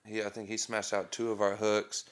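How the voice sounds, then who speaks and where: Thirties man, low-pitched, casual swearing hype talk from a home studio. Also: monotone, normal speed, clear, resonant tone. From Oregon. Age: 30s. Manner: loose, profane, hype talk. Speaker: rapper promoting his album. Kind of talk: casual podcast chat.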